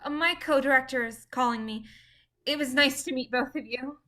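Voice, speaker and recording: A woman's voice: high-pitched, moderate pace, sharp tone. A woman playing a starstruck, modest young female film director in a staged play reading, gushing and a little hesitant. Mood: starstruck, eager.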